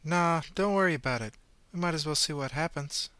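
A man voicing an eerie possessing entity that speaks through other people, slow, halting and detached. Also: a little high, bright, forceful tone, clean tone, dry recording, pitched above the performer's own voice. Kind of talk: audiobook character voice.

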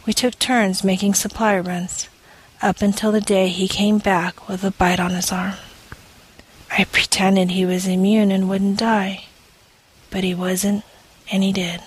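A woman reading scripted audio fiction in a measured, deliberate manner, phrase by phrase. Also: medium pitch, little pitch movement, a little hiss or hum, low-quality recording. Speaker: story reader.

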